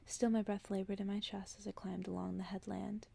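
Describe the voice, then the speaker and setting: Female ASMR storyteller: gentle breathy whisper, dark soft tone, strong creak, slight background noise. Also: medium pitch, flat delivery, fairly quick. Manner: hushed, gentle storytelling with casual asides. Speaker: ASMR host reading a storybook aloud. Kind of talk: ASMR bedtime story reading.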